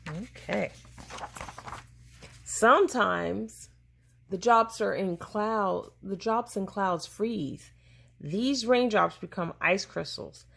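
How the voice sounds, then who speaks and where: Woman reading a kids science book out loud, real slow, bit of vocal fry, stops to explain stuff. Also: mid-range voice. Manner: deliberate, explaining, pausing to answer questions. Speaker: parent reading a picture book aloud to a child. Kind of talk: read-aloud of a children's science book with chat to a child.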